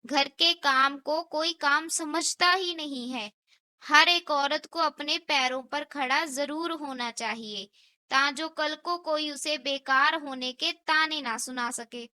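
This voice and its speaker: A woman's voice: high-pitched, slow, pushed and bright, dry recording, heavily acted. A woman voicing an earnest, dutiful young daughter-in-law in a cartoon story, speaking carefully and sincerely. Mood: resolute. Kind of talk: scripted cartoon story dialogue.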